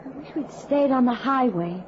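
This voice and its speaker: An actress playing an anxious, pleading wife stranded on a lonely road in an old-time radio drama. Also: female voice, sits high, animated, moderate pace. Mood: nervous, fearful. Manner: fearful, pleading, jumpy. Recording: noisy, muffled vintage radio drama recording.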